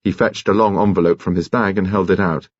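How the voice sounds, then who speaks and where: A man's voice: deep voice, fairly even intonation, brisk, slightly rough, dry recording, dull audio. A man narrating a scripted story as an audiobook reader.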